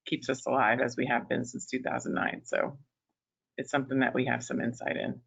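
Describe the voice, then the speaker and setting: A woman's voice: a bass voice, steady tone, gravelly, breathy edge. A woman in her fifties who runs a resume writing business, chatting as a panelist in a webinar with lots of fillers and restarts. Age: 50s. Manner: chatty, many fillers and restarts, quick to laugh. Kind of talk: conversational webinar discussion.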